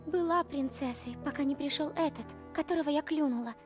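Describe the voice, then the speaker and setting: Actress voicing young cartoon princess, high voice, sweet, earnest, innocent, faint music behind. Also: female voice, a little fast, natural voice. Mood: indignant. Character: sweet, honest young princess turned goose.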